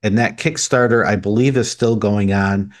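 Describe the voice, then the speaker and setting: A man's voice: fairly low pitch, steady tone, moderate pace. A man co-hosting a podcast, reading out news items in a conversational way. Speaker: podcast co-host.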